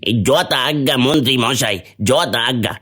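A man voicing a dutiful, excitable palace guard in a cartoon fairy tale, deferential and theatrical. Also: medium pitch, moderate pace, husky. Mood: excited discovery.

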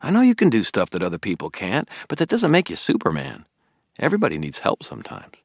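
Man performing a young male friend, raised pitch, earnest, exasperated, blunt, audiobook dialogue, dry sound. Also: wildly swooping pitch, fast talker, vocal fry, slightly rough, dry recording, full character voice. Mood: insistent, concerned. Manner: emphatic, blunt, informal.

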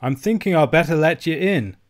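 A man's voice: a little high, lively intonation, moderate pace, pitched above the performer's own voice, close to an everyday voice. A man voicing a suspicious, quavering old Scottish miser. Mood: shaken, grudging. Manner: grudging, wary, quavering.

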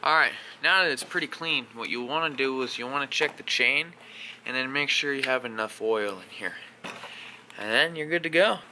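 A young man giving a casual how-to vlog while working in a workshop garage, relaxed and full of uh and um. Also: mid-range voice, moderate pace, slight background noise. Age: young adult. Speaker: vlogger doing equipment maintenance. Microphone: action camera close up.

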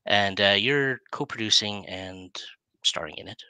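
A man's voice: fairly low pitch, a little slow, sharp tone, clear, resonant tone, no room sound. A middle-aged man hosting a casual interview, speaking into a headset. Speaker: interview host. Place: small room indoors. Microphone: headset on a video call.

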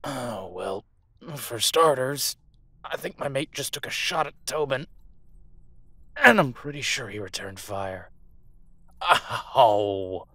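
A man's voice: medium pitch, lively intonation, slow, pauses often, sharp tone, no echo, heavily acted. A man playing a captive werewolf alpha soldier in an audio roleplay, defiant, protective and sardonic as he urgently argues and swears. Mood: wry, pained. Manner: profane, sardonic, urgent persuading.

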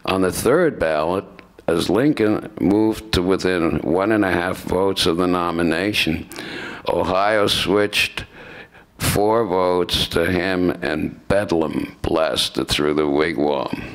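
A man in his seventies reading scripted narration aloud in a deliberate way, stumbling now and then, at a microphone in a lecture room. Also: low voice, a bit bright, rough recording. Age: 70s. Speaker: narrator in a staged radio play by retired students. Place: lecture room with seated audience. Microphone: microphone on stand at lectern.

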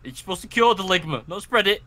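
A man's voice: sits high, lively intonation, fast, sharp tone. A man playing a sardonic, teasing survivor in an improvised game roleplay, with wry banter. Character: teasing, sardonic male zombie-apocalypse survivor trading supplies.

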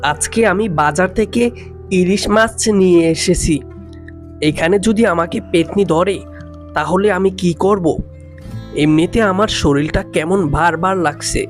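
A performer voices a fearful villager in a ghost cartoon. The voice sits at an ordinary middle pitch, talking anxiously to itself with a show of bravado, while music plays behind. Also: measured pace, natural voice. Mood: worried. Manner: muttering worries to self, nervously defiant. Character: fearful villager carrying fish home, putting up bravado. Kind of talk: Bengali ghost cartoon dialogue.

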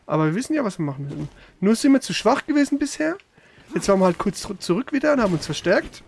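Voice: mid-range voice, very animated, fast talker, gravelly, a little mellow, slight background noise. A gamer giving casual let's play commentary, grumbling and swearing in a slangy way.

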